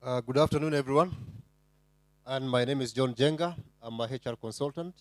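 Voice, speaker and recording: A man speaks slowly and carefully on a career panel, pausing with ums. His middle-pitched voice is dry and close to the mic. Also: no echo. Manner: easygoing, slow and careful, lots of ums. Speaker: HR consultant. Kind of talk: panel discussion.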